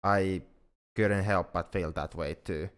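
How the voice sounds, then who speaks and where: A man as a hesitant, smooth-voiced shy alien. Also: low voice, steady tone. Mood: wistful, sad. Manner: hesitant, halting, earnest. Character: shy, flustered, self-blaming young male alien confessing feelings. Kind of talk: ASMR roleplay audio drama.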